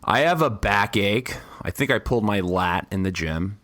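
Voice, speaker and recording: A man's voice: fairly low pitch, animated, normal speed, a bit bright, dry recording. A young man co-hosting a podcast, stuffy and losing his voice, rambling crudely and laughing a lot. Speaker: podcast co-host. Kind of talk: casual chat.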